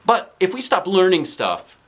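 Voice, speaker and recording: A man voicing an earnest, outspoken young schoolboy in a children's storybook, with an emphatic, animated manner. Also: high voice, a little slow, pitched above the performer's own voice, heavily acted. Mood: protesting. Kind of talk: children's storybook character reading.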